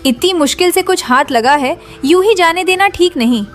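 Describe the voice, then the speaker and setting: Woman acting high-voiced, insistent village wife. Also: expressive, normal speed, soft background music. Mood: scheming. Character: anxious, shrewd village wife. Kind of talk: Hindi audio horror story acting.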